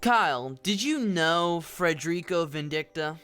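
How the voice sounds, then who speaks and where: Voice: mid-range voice, expressive, measured pace, a bit bright, smooth, faint music behind. An actor playing a formal, accusatory prosecuting lawyer with an oratorical delivery in an audio drama. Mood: probing. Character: formal, theatrical prosecuting lawyer in a fantasy court. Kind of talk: scripted audio drama courtroom speech.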